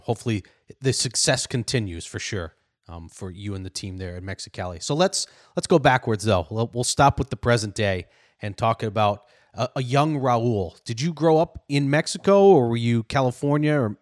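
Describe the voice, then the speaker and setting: A man's voice: medium pitch, expressive, normal speed, dry recording, clean studio sound. A man hosting an interview podcast, talking in a casual, joking, conversational way. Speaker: podcast host.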